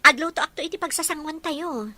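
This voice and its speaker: Young wife for audio drama, female actor, Ilocano accent, tender and wistful, bright higher voice. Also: fairly quick. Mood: planning, cheerful. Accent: Ilocano Filipino. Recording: faint background noise.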